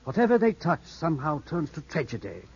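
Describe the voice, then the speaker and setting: An actor playing a cautious older family friend in a 1950s radio drama, husky, formal and avuncular. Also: male voice, fairly high pitch, very animated, a little fast, a little mellow, full character voice. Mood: grave, disapproving. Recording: dull 1950s radio broadcast.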